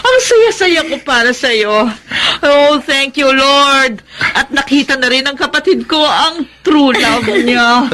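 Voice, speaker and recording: High, gushing big sister. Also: female voice, expressive, sharp tone, heavily acted. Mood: overjoyed. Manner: gushing, drawn-out delivery. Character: excited, affectionate older sister. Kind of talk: Filipino radio drama acting. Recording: noisy background with clicks.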